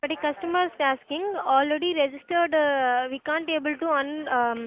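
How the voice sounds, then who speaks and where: A woman's voice: sits high, very slow, poor audio. A woman with a Tamil accent working as a customer service agent, politely interpreting on a phone support call and a bit hesitant in English.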